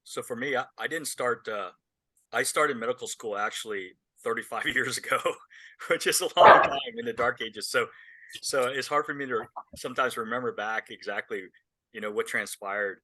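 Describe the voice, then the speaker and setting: A man's voice: medium pitch, moderate pace, sharp tone, no room sound, rough recording. A man in his fifties, a family physician, talking on a panel with a self-deprecating humor and repeated words. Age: 50s. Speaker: family physician, clinical professor. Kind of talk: casual panel talk.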